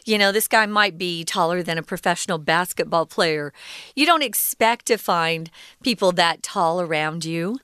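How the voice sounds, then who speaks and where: A woman co-hosting an English lesson podcast, explaining words patiently in a relaxed conversational way with fillers. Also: fairly low pitch, normal speed, smooth, no room sound, studio quality. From United States. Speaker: co-host teaching English.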